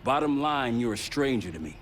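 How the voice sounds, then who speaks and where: A man's voice: mid-range voice, very animated, a little fast, a bit bright, slight background noise. An actor voicing a tough, wary American male team leader in a spy thriller video game, with a blunt, commanding manner. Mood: stern, distrustful. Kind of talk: cinematic video game acting.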